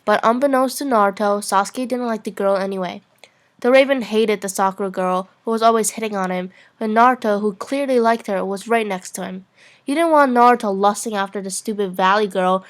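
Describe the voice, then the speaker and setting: A woman's voice: medium pitch, steady tone, brisk. A young woman narrating fan fiction as an amateur dramatic reading, playful and sometimes stumbling over her lines.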